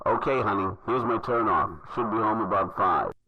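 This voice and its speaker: An actor playing an affectionate, easygoing trucker husband and father in an audio drama, heard through a phone filter. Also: fairly low pitch. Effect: phone or radio filter. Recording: noisy, band-limited phone call from truck.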